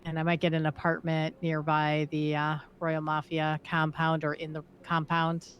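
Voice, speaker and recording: A woman's voice: deep voice, monotone, moderate pace, highs cut off, slight background noise, natural voice. A woman playing a flirty, blunt and breezy city woman in improvised online roleplay.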